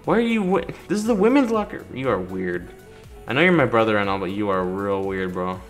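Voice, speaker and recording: Male let's-player, casual and chatty, very expressive pitch, talks playfully to characters, light game music underneath. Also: brisk. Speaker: gaming YouTuber doing a playthrough. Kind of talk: casual gameplay commentary. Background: video game music.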